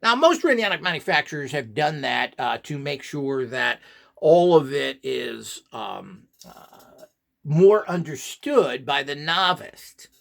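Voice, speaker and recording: A mature man with a somewhat high-set voice talks off the cuff as a video presenter. He is blunt and emphatic, with a scornful edge as he lays out his opinions. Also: very animated, slow, creaky, a bit bright. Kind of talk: unscripted opinionated monologue.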